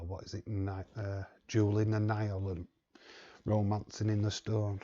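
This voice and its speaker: A man's voice: lowish voice, mellow, highs cut off. A man hosting a live watch-along stream, chatting casually and reading the chat aloud in a rambling way. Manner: rambling, reads chat aloud, chuckles often.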